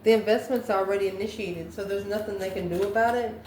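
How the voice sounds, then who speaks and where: Woman, tarot reader, improvised musing delivery, medium pitch, roomy echo, faint background noise. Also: fairly even intonation, quick pace, darkish tone. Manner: musing aloud, asks questions and answers them herself. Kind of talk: improvised tarot card reading.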